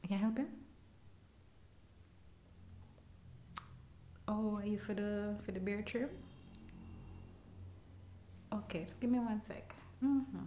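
A woman in her thirties with a Jamaican accent, voicing a rude, impatient barber in a soft-spoken ASMR roleplay, recorded close to a binaural mic in a small room. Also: mid-range voice, measured pace, slight background noise, lightly acted. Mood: gossipy. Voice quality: soft-spoken, often whispering. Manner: curt and scolding. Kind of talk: ASMR personal attention roleplay. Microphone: close binaural mic, leaning toward the camera.

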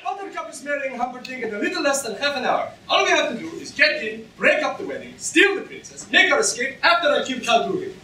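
A man's voice: very high-pitched, lively intonation, quick pace, hardly any pauses, sharp tone, exaggerated voice. A young man playing an earnest, urgent and loyal swordsman in a comic stage reading. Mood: urgent, brisk. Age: young adult. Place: lecture hall stage, far camera. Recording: distant echoey hall recording, background noise.

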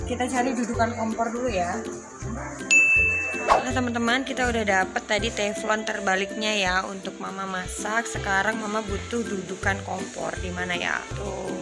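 A woman's voice: medium pitch, steady tone, normal speed, creaky. A woman in her thirties, a mother chatting to viewers and coaxing her kids while vlogging into a phone held out in a big indoor store. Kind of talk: casual family vlog. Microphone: phone held at arm's length. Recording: loud background music. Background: store music playing loudly.

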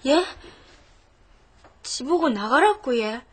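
Casting: plucky, outspoken country schoolgirl, Gyeongsang accent, high and very lively delivery. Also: slow pace, breathy edge, heavily acted. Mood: pleading. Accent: Gyeongsang Korean. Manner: brash, outspoken. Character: plucky, blunt country schoolgirl new in Seoul. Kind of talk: television children's drama acting.

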